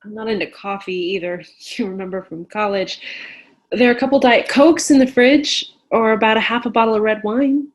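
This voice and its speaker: A woman's voice: on the high side, a little fast, natural voice. A woman playing a sarcastic, supportive friend in a play reading, with dry, joking delivery. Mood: casual.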